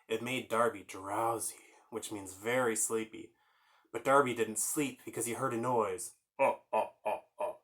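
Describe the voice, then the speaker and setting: A man's voice: lowish voice, fairly even intonation, measured pace, a bit bright. A man hosting a children's story time, reading aloud and chatting informally, explaining things and putting questions to the listeners.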